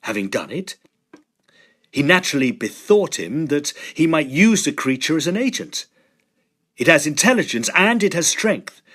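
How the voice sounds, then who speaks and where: A man's voice: fairly high pitch, very animated, moderate pace, a bit bright. An actor playing an intense, shaken young Victorian man in a radio drama, speaking with urgent, dramatic emphasis. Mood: grave, intense.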